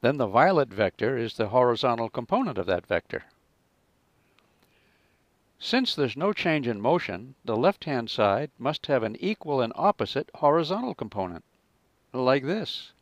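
A man narrating a scripted physics lesson as a teacher, in a measured, explanatory manner. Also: mid-range voice, sing-song, dry recording.

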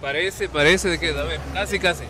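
Ecuadorian guy hosting on the street, high bright voice, handheld mic, faint traffic noise. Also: a little fast. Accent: Ecuadorian Spanish. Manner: playful, coaxing, repeats words. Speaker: TV host. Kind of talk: lively on-location presenting. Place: hotel kitchen and street outdoors. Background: street ambience, traffic.

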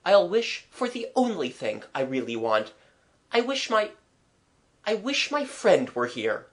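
A woman voicing an earnest, quietly lovestruck gentleman in a storybook, courteous and heartfelt. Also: on the low side, sing-song, unhurried, pitched above the performer's own voice. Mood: wistful.

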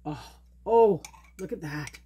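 A woman's voice: fairly low pitch, animated, slow, dark tone, breathy edge. A woman hosting a homesteading cooking video, chatting casually and upbeat as she works in her home kitchen. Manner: chatty, upbeat, thinks aloud while working. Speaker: homesteading channel host.

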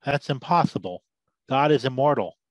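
A man's voice: mid-range voice, expressive, normal speed, dry recording, lightly acted, poor audio. A man performs an embittered old-soldier storyteller in a radio drama, well-oiled and loquacious. He delivers war memories like scripture, with a biblical roll to his phrases, in a dry, close sound. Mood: incredulous, agitated. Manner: loquacious, sermon-like oratory with biblical cadence. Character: embittered drunken war veteran Big Mike, preaching battlefield tales. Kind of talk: dramatised story, theatrical monologue.

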